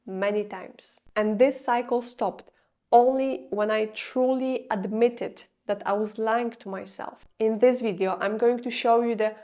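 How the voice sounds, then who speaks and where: A woman's voice: fairly high pitch, steady tone, a little slow, a little mellow. A young woman with a Polish accent speaking English as a second language, giving an earnest, confessional vlog talk. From Poland. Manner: earnest, confessional, slightly non-native grammar. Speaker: video creator. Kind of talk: scripted explanatory vlog.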